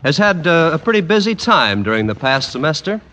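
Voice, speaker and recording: A man's voice: a little high, very animated, brisk, pushed and bright. A man announcing a 1940s radio show in the style of the period. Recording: vintage radio broadcast, audience applause. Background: music and crowd.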